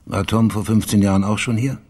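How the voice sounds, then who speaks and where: A man's voice: on the low side, steady tone, brisk, mellow, breathy edge. A man playing a persistent investigator in a radio crime drama, asking polite, matter-of-fact questions. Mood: politely probing. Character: probing, persistent male investigator on a case.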